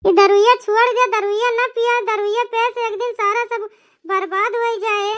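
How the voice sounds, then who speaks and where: A woman's voice: very high-pitched, steady tone, moderate pace, a bit bright. A woman playing a long-suffering, plaintive village wife in a comic cartoon, complaining and pleading in an Awadhi accent. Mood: scolding. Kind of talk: exaggerated cartoon comedy dub.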